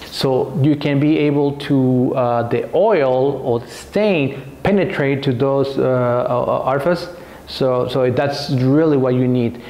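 Halting man in an echoey workshop. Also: medium pitch, a little mellow. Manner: halting, repeats words, many fillers; gestures with hands while talking. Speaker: woodworking YouTuber. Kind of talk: casual tool recommendation vlog. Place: home workshop, indoors.

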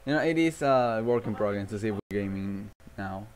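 A man's voice: lowish voice, expressive, brisk, darkish tone. A man hosting a livestream, chatting casually and thinking aloud in a rambling way. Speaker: livestream host. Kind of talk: casual game-stream chatter.

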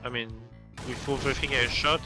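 A man's voice: mid-range voice, fairly even intonation, a little fast. A man playing a co-op shooter, making short, laid-back remarks in casual chat. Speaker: co-op player, guest. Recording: loud game music behind.

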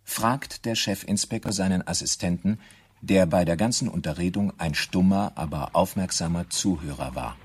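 A man with a husky voice reading scripted narration for a radio drama. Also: sits low, moderate pace.

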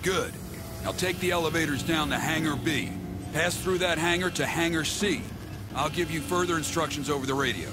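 Man acting gruff, high-pitched commanding Navy captain. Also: normal speed, heavy background noise, close to an everyday voice. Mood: grim, urgent. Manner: gruff, commanding, salty-tongued. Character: gruff, bossy, self-sacrificing male Navy ship captain. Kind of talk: military action game acting. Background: loud music, gunfire.

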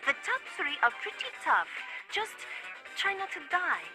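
A coy businesslike fight agent, high lilting voice, game phone call, music behind. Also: female voice, very animated, unhurried, full character voice. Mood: coolly teasing. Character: coolly teasing woman arranging assassins' ranked duels.

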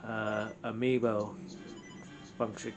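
A man's voice: fairly low pitch, little pitch movement, slow pace. A man playing a video game and commenting casually as he goes, co-hosting. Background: video game music.

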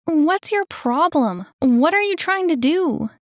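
Woman voicing a high, feisty, indignant storybook girl. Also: lively intonation, slow, no room sound, studio quality. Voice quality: high-pitched. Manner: sharp, exclamatory, challenging. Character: feisty, indignant girl on the run, suspicious and defiant.

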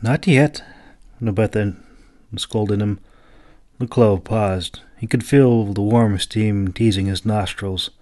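Low-voiced man narrating fantasy. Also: steady tone, takes it slowly, mellow, no room sound, clean studio sound. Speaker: audiobook narrator, author. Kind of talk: dramatic scripted fantasy narration.

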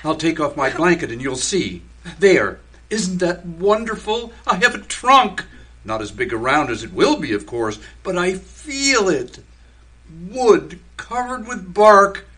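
A man's voice: high-pitched, sing-song, a little slow, a bit bright, breathy edge, heavily acted. A man acting in radio theater as a stubborn, dreamy husband becoming a tree, speaking formally and solemnly. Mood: exultant.

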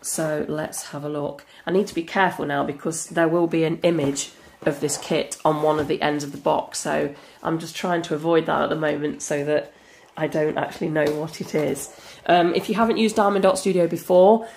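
Woman, hoarse with a cold, low and gravelly, chatty and excitable. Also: a little hiss or hum. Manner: chatty and enthusiastic, frequent fillers. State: croaky from a cold. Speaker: crafting channel host. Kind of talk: casual unboxing chat. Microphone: camera over a tabletop.

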